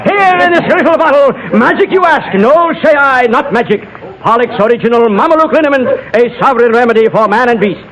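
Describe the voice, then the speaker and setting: High-pitched florid medicine-show huckster. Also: male voice, expressive, a little fast, a bit bright, highs cut off. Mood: grandiose, hawking. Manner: florid, theatrical sales pitch. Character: fast-patter frontier medicine-show salesman, grandiose huckster. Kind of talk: old-time radio drama acting. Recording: vintage radio drama, crowd behind.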